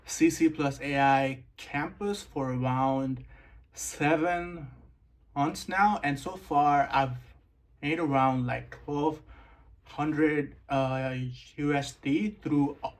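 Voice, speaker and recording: A young man from Nepal, a guest in a casual interview, relaxed and full of "like" fillers. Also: on the high side, slow. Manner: relaxed, filler-heavy, says "like" often.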